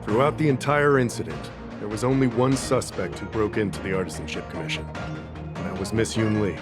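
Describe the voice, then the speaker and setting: A man's voice: on the low side, animated, fairly quick, lightly acted. An actor voicing a methodical, earnest male official working through a case in a game cutscene, reasoning step by step. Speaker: investigating official in a video game. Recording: game audio with soft music behind.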